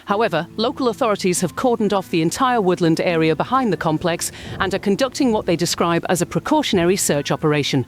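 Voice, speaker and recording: A woman's voice: fairly low pitch, expressive, quick pace, hardly any pauses, a little hiss or hum, natural voice. A woman playing a field news reporter, formal, precise and controlled in a scripted live report. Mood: grave, controlled. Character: serious female British field news reporter.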